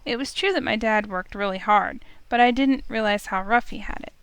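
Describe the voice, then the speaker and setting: A woman's voice: medium pitch, fairly quick, fairly bright tone, smooth. A woman narrating a visual novel in first person, emotive and urgent.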